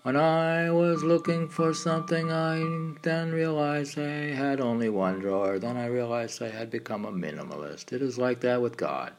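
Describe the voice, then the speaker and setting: A man of medium pitch recites his poetry. He speaks in meditative, declarative phrases broken by pauses. Music plays clearly behind him. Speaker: poet reading own work. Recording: music playing under the voice. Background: background music.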